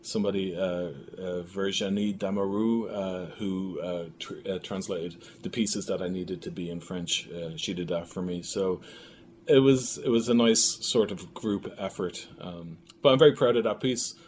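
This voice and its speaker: Low-voiced man chatting haltingly over background noise. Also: fairly even intonation, darkish tone. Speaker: filmmaker guest being interviewed. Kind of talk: casual interview chat about own work. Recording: clearly noisy room recording.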